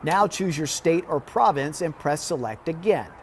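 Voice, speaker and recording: A man presenting a scripted how-to demonstration, going through each step in a clear, orderly way. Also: a little high, lively intonation, moderate pace, noisy background. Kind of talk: scripted instructional narration.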